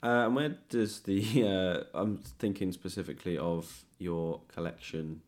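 A man's voice: deep voice, fairly even intonation, measured pace, darkish tone. A man hosting a casual interview, tentatively thinking aloud as he frames his questions. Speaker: podcast host, interviewer. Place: guest's home, indoors.

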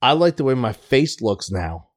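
A man's voice: mid-range voice, moderate pace. A man in his late thirties chatting casually and swearing freely. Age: 30s. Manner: casual, profane, animated chat. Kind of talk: casual podcast chat.

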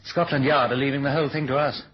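An actor playing a polite, anxious British intelligence official with an educated accent, proper and cautious, in a 1970s radio drama. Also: male voice, mid-range voice, fairly even intonation, quick pace, natural voice. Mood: worried, exasperated. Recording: dull, noisy old radio recording.

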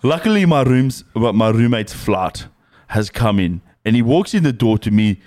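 A man's voice: mid-range voice, very animated, moderate pace, a little mellow, no echo, studio quality. A young Australian man co-hosting a podcast, chatting in crude, joking banter and laughing often. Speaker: podcast co-host. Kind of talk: casual banter.

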